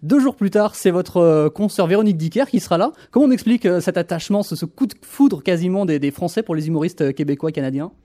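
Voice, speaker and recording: Quick, mellow-voiced male interviewer, raised pitch, hesitant questions. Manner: hesitant, many fillers.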